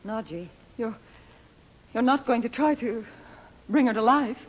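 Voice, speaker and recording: An actress playing an anxious, protective mother, gentle and worried, in old-time radio drama style. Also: on the high side, a little slow, slightly rough. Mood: alarmed. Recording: muffled, hissy old radio recording.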